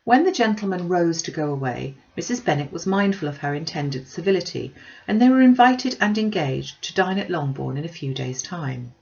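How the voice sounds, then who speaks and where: A woman's voice: lowish voice, lively intonation, moderate pace, gravelly, darkish tone, lo-fi sound. A woman reading a classic novel aloud as scripted audiobook narration.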